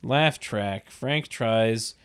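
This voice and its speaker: A narrator reading stage directions in a deadpan, wry, mock-literary comic style. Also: medium pitch, extremely slow, smooth, no echo. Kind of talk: deadpan comedic script narration.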